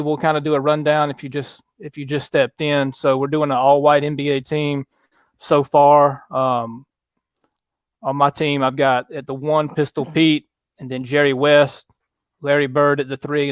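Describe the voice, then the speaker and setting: Guy hosting a chill sports livestream, smooth voice, kinda high, dry close mic. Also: dry recording. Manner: relaxed, halting, lots of fillers, reads viewer comments aloud. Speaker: podcast host. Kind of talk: casual sports chat on a livestream.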